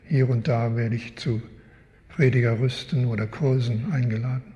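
An older man from Canada, a guest Bible teacher, answering interview questions in a slow, thoughtful, wry way. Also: medium pitch, level tone, dark tone, lo-fi sound. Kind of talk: live interview in a church. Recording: long hall reverb.

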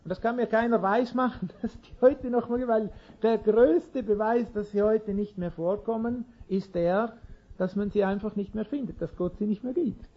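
A man's voice: sits high, moderate pace, muffled recording. A man preaching an informal sermon in an earnest way, chuckling now and then and restarting sentences.

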